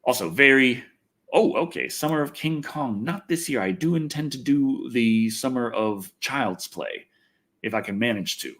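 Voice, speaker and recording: Animated American man chatting on a livestream. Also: medium pitch, moderate pace. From Texas, United States. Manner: self-deprecating, swears freely, thinks aloud. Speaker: livestream host and video reviewer. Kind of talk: casual rambling livestream chat answering viewers.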